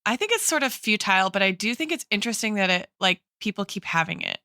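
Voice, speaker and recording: A woman's voice: mid-range voice, big pitch swings, quick pace, bright, forceful tone, no echo, studio quality. A woman co-hosting a podcast, reasoning aloud in a conversational, academic way and often phrasing her points tentatively. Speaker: podcast co-host. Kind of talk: analytical conversational discussion.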